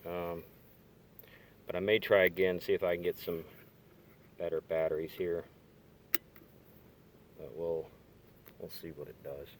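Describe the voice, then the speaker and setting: Male hobbyist demonstrator, low-pitched voice, tentative and halting, informal show-and-tell delivery, handheld camera in a car. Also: level tone, noisy background. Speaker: hobbyist demonstrating radio gear. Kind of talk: casual hands-on demonstration. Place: inside a parked car. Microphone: handheld camera or phone.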